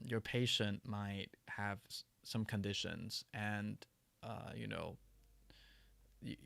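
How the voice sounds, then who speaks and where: Gravelly-voiced man chatting on a livestream. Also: fairly low pitch, a bit bright, no echo. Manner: halting, many restarts and fillers. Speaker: livestream host. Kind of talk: casual livestream chat answering viewer questions.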